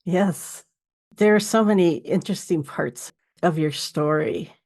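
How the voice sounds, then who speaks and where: A woman's voice: lowish voice, a little slow, a little mellow. A woman hosting a casual interview chat as the interviewer. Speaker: podcast host, interviewer.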